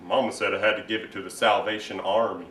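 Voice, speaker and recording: A man's voice: on the low side, steady tone, fairly bright tone, voice pushed down, lightly acted. Man playing timid Southern mama's boy, roomy recording. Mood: shy, eager. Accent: American South. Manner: halting, earnest, naive. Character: timid, whiny, sickly Southern mama's boy, childishly earnest. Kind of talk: comic stage monologue performance. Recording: roomy, distant mic with hiss.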